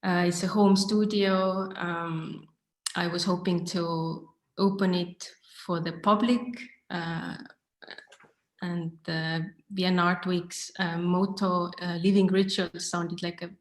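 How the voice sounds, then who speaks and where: A woman's voice: fairly low pitch, flat delivery, takes it slowly. A woman with an Estonian accent, talking informally and thoughtfully about herself as a guest artist, with some hesitation as she finds her words. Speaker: artist being interviewed. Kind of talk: informal online studio talk. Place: home studio, indoors. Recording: echoey, roomy laptop sound.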